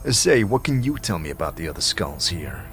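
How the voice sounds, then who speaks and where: A man's voice: deep voice, sing-song, moderate pace, a bit bright, a little hiss or hum. A man delivering scripted dramatic narration and dialogue as narrator and lead of a fantasy game audio series.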